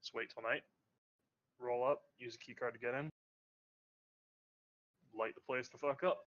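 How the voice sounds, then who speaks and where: Canadian-ish guy arguing with the gm, bright voice, kinda dull lo-fi mic. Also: mid-range voice, fairly even intonation, normal speed. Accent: faint Canadian. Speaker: player. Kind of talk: casual chat while playing a tabletop game.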